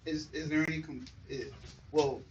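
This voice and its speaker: A man's voice: fairly high pitch, fairly even intonation, normal speed, highs cut off. A man in an audience asks a casual, loosely phrased question. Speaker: audience member asking questions. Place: event room with audience. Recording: distant mic, faint room noise.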